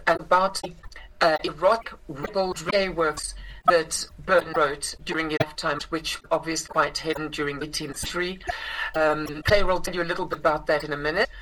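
Rambling South African woman with steady hiss. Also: sits low, poor audio. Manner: rambling, many ums and restarts. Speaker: actress and guest. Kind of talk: casual interview. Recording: clear background hiss.

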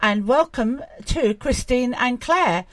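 A woman's voice: fairly high pitch, animated, takes it slowly, dry recording. A woman hosting an interview, introducing her guests in a friendly way. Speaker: host.

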